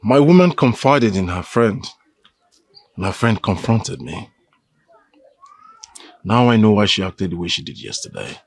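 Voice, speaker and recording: A man's voice: lowish voice, sing-song, measured pace, long pauses, full character voice. Un homme jouant a menacing, accusing man. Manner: threatening, accusing. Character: suspicious angry man, threatening and mocking.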